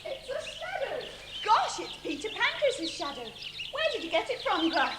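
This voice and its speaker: A woman playing an eager, bossy young girl, an older sister, in a stage pantomime with excitable delivery. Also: very high voice, normal speed. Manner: excitable, scolding. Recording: echoey, noisy stage recording.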